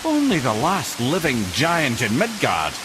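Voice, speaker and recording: An actor playing a wry, courtly old talking head and wise advisor in a video game. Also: male voice, a little high, huge pitch swings, measured pace, rough recording. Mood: wry, storytelling. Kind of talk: cinematic video game acting. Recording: music behind the voice.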